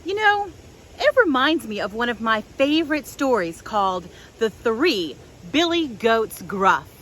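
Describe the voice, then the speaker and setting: Slow, very expressive children's storytime woman. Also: a little high. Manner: simple, deliberate phrasing for small children. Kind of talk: storytelling and guided talk for young children. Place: outdoors in a public park. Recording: outdoor park with faint background noise.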